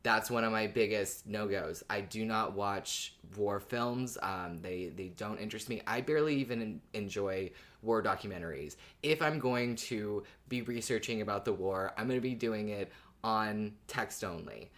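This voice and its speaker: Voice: medium pitch, moderate pace, fairly bright tone. Earnest podcast co-host with an ambiguous-sounding voice. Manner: earnest, explanatory, informal. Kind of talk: casual conversational podcast commentary.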